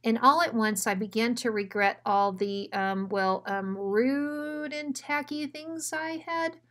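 A woman's voice: a little high, takes it slowly, a bit bright. Woman reading a children's story aloud. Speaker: school principal reading aloud.

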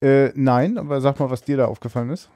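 A man's voice: medium pitch, fast talker, mellow, no room sound. A man hosting a podcast, asking questions in a casual conversational interview.

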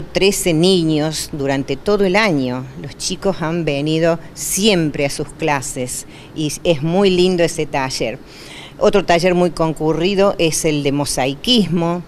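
Slow-speaking older Argentine woman. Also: fairly low pitch, a little hiss or hum. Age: 60s. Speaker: neighbourhood committee representative being interviewed. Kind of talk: local TV news interview. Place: indoors in a community hall. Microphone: handheld interview microphone.

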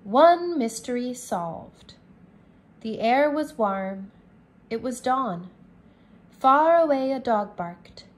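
A woman teacher reads a children's chapter book aloud at a very slow, deliberate pace. Her voice sits a little high and every word is clear. It sounds like a careful lesson read-aloud. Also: lively intonation. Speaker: English teacher. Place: indoors at a wooden table.

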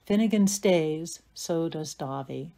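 A woman's voice: low-pitched, slow, dark tone. A woman giving scripted narration, reading out stage directions for an audio play.

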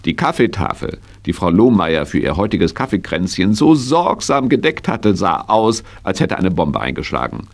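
A man's voice: low voice, sing-song, moderate pace, creaky, few pauses, full character voice. A man narrating a children's audio drama as a dramatic storyteller. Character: male storyteller of a children's horse adventure.

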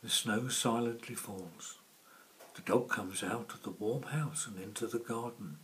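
A man reading a children's storybook in a hushed, measured manner. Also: on the low side, rough recording. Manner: hushed, measured, often dropping to whispers. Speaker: author reading own story. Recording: faint background noise.

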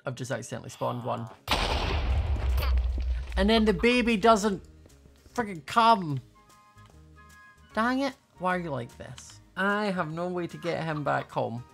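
Chatty young woman with a creaky voice. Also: fairly low pitch, big pitch swings, unhurried, long pauses. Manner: chatty, thinking aloud, playful. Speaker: gaming video host. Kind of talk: casual gameplay commentary. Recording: faint music behind voice. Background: faint music.

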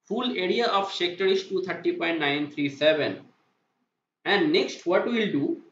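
A man teaching a welding calculation on a digital whiteboard, slowly asking and answering his own questions. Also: high-pitched. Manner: slow, repetitive, asks and answers own questions. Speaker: welding inspection instructor. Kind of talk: whiteboard math tutorial. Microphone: voice-over to screen drawing. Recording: roomy, dull lo-fi voice-over.

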